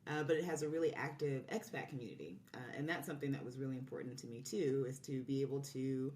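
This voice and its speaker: A low-voiced woman chats as a podcast guest, explaining things in a casual way. She sounds set back from the mic in a roomy space, with faint noise behind her. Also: quick pace, darkish tone, far from the mic. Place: indoor room, recorded in person.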